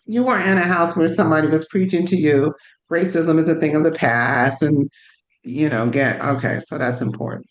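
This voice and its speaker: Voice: mid-range voice, moderate pace, poor audio. A moderator guiding a video-call discussion and prompting participants in a friendly way.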